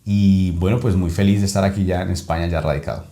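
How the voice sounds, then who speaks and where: A Colombian man in his thirties with a Bogotá accent speaks in a fairly low voice. He talks his way through his story as a podcast guest, stopping often to restart with fillers. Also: steady tone, fast talker, dark tone. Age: 30s. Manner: hesitant, many fillers and restarts. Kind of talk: casual interview.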